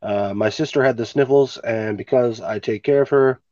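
A man's voice: medium pitch, moderate pace, warm tone, dry recording, lo-fi sound. A man hosting a casual podcast from home with a stuffy nose, laid-back and swearing casually. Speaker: podcast host. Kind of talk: casual chat. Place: home, recording remotely. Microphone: remote home mic.

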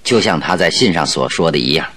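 A man's voice: extremely low pitch, animated, fast talker, a bit bright, breathy edge, heavily acted. A man acting a courteous, self-mocking great detective in a Mandarin radio drama. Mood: rueful, courteous.

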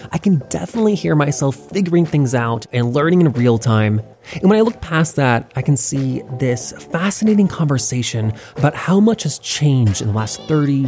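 A man's voice: a little high, darkish tone. A man reading a welcoming, upbeat introduction as a podcast host.

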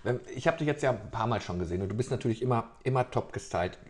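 A man's voice: mid-range voice, expressive, fast, a little mellow. A man interviewing a young singer, chatty and rambling, restarting his questions often. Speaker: interviewer, local journalist. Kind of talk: casual interview.

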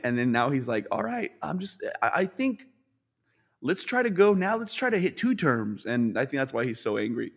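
A young man chatting as a podcast guest, slangy and animated, often mimicking other people mid-sentence. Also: on the high side. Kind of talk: casual chat.

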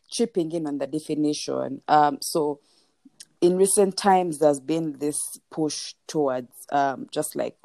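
A Ugandan woman with a low, gravelly voice speaks as a podcast guest. Her tone is mellow and the recording sounds dry and close. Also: no echo. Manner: articulate, repeats herself as she builds a point. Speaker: guest, lawyer and writer. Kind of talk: casual podcast interview.

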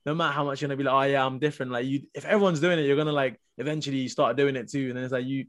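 A man's voice: medium pitch, steady tone, very fast. A young man from Nepal chatting informally and slangily in a casual group podcast discussion, scattering "like" through his sentences. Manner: informal, slangy, heavy use of "like". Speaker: podcast panelist.

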